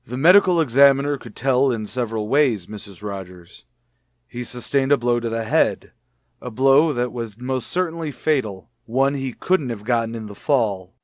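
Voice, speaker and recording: An actor playing a calm, persistent police lieutenant in a radio detective drama, formal and politely insistent. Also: male voice, medium pitch, normal speed, no room sound, clean studio sound, natural voice. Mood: politely probing. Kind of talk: old-time radio detective drama acting.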